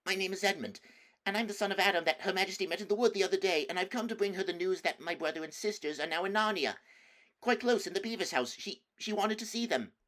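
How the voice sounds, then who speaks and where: A nervous young boy from a storybook tale, played by a man raising his voice high, talking fast and shakily. He sounds eager to please yet afraid. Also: fairly bright tone, poor audio. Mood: frightened. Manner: trembling, high and hurried.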